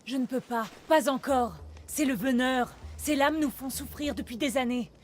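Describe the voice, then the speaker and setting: An actress playing an earnest, determined ally of a warrior in a video game, speaking in a serious, purposeful way. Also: female voice, high-pitched, normal speed. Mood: resolute. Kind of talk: dramatic video game acting.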